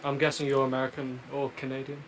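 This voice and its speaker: English accent, man, podcast guest, calm direct debating, faint background hiss. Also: medium pitch, fast, low-quality recording. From England. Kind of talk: casual podcast chat.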